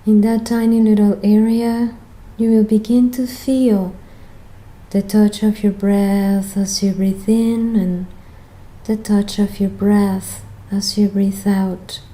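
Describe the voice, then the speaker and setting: A woman leading a guided meditation as the guide, speaking in a gentle, soothing manner with long pauses between phrases. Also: mid-range voice, level tone, low-quality recording.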